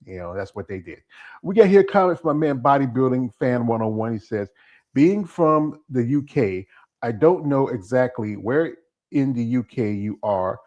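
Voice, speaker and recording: A man's voice: mid-range voice, animated, normal speed, a little mellow, dull audio. A man hosting an interview, rambling and leaning on "you know". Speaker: interview host. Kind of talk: casual interview chat.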